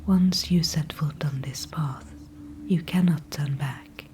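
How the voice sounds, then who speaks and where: A woman's voice: low voice, slight background noise. A woman whispering as an ancient dryad. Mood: calm, knowing. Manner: soft unvoiced whisper throughout; formal, unhurried, old-fashioned phrasing. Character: ancient female tree spirit, calm and wise. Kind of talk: ASMR audio roleplay.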